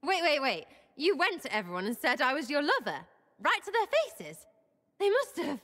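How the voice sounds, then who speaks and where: A woman's voice: high voice, huge pitch swings, moderate pace, a bit bright, heavily acted. Cheeky young rogue girl from a fantasy game, slangy and impulsive, voice jumps all over. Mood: surprised, delighted. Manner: slangy, irreverent, blurted fragments. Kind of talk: video game voice acting.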